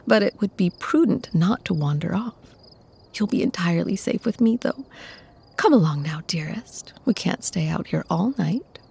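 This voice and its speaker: Actress as tender, protective female vampire for ASMR drama; soft, very husky and breathy, gently creaky, genteel. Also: on the low side, animated, normal speed, heavily acted. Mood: tender, reassuring. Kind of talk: scripted ASMR audio roleplay.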